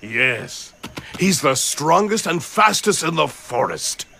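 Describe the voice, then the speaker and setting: A man voicing a calm, respectful forest animal in a dubbed cartoon, measured and deliberate. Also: fairly high pitch, gravelly, heavy background noise, full character voice. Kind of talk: English-dubbed cartoon acting. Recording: loud music behind.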